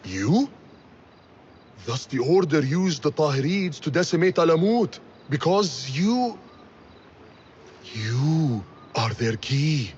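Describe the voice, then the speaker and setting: An actor playing a solemn male mentor of an assassin order in a video game, speaking gravely and urgently. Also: on the high side, big pitch swings, slow, long pauses, a little mellow, muffled recording, slight background noise. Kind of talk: video game cutscene acting.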